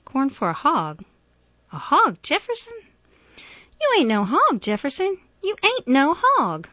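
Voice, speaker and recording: A woman voicing a big, grieving elderly godmother with a rural Southern US accent, pleading emphatically in drawn-out words. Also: medium pitch, lively intonation, dry recording, highs cut off, natural voice. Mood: fierce pleading.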